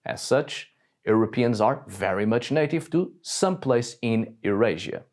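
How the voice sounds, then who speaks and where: A man's voice: medium pitch, expressive, unhurried, darkish tone. A man from Portugal giving a blunt, scripted video monologue, swearing now and then. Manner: blunt, swears when annoyed. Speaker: video essayist.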